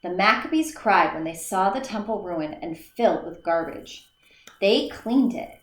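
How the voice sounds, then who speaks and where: A woman teacher reads a children's story aloud, unhurried and deliberate, breaking the text into small phrases. Now and then she trips and restarts a word. Her voice carries a noticeable echo from the room around her. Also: medium pitch, expressive, a bit bright. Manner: slow, careful read-aloud with small slips and restarts. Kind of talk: reading a children's book aloud. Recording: echoey room.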